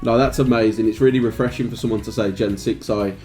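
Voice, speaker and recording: A man's voice: mid-range voice, steady tone, fast, dark tone, soft background music. Upbeat male interview host. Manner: friendly, upbeat, says "man" and "mate" often. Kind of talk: casual interview chat.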